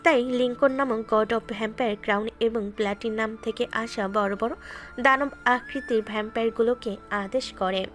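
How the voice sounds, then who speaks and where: A woman narrating a scripted story in a steady, dramatic way. Her voice sits a little high, and faint background music plays beneath her. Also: normal speed. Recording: music bed behind.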